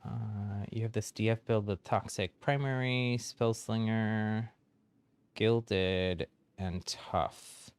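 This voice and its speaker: A man's voice: on the low side, steady tone, takes it slowly, clean tone, no echo. Man, game streamer, friendly casual chat, frequent ums, laughs, dry close mic.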